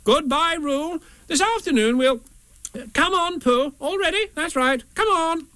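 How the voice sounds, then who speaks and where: A man voicing a bossy, fussy storybook rabbit with a brisk, officious, hurried manner. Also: very high-pitched, pushed and bright, dry recording, clean studio sound, voice pushed up, exaggerated voice. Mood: flustered, hurried.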